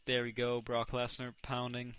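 Young dutch guy narrating his game, bright voice, gets loud when stuff lands. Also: mid-range voice, moderate pace, clear, resonant tone, muffled recording. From Netherlands. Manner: excitable, self-correcting, reacts loudly to plays. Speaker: gaming commentator. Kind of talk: casual let's play commentary.

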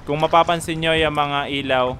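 A man's voice: a little high, steady tone, normal speed, bright, forceful tone. A man from the Philippines casually narrating a fishing trip to his viewers, explaining each step plainly from a small boat at sea. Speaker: fishing vlogger. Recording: wind and boat noise behind.

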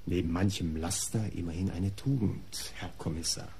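An actor playing a defensive, disdainful art patron under police questioning in a German radio crime play, articulate, formal and self-justifying. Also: male voice, low voice, moderate pace, creaky, mellow, slight background noise. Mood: dry, disdainful.

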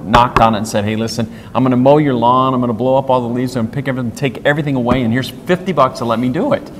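A man in his fifties giving conversational interview answers, plain-spoken and explaining through stories and analogies. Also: medium pitch. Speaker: interview guest, industry executive. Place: hotel conference corridor, indoors. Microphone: clip-on wireless lapel mic. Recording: long hall reverb, faint background noise.

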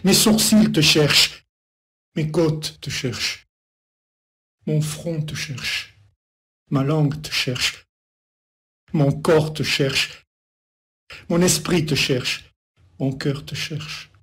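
A man reciting poetry as an incantatory litany, in short repeated phrases set apart by pauses. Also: a little high, fairly bright tone. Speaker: poet.

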